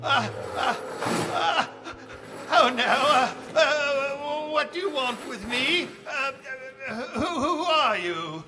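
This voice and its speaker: A man's voice: very high voice, expressive, slow, music in the background, heavily acted. An actor playing a frightened, crotchety old male miser in a stage radio drama, stammering and quavering between bluster and pleading. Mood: terrified. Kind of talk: radio-style stage audio drama acting.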